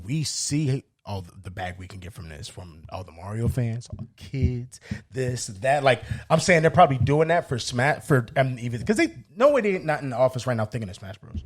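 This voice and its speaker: Fast-talking, animated American man. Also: mid-range voice. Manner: informal, slangy, emphatic. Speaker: podcast co-host. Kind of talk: casual chat among friends.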